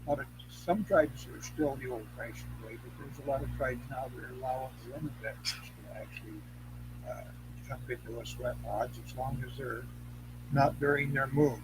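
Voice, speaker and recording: A man's voice: medium pitch, steady tone, normal speed, very noisy. An older man with an American accent chatting casually in a rambling, folksy, anecdotal way. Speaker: interview guest.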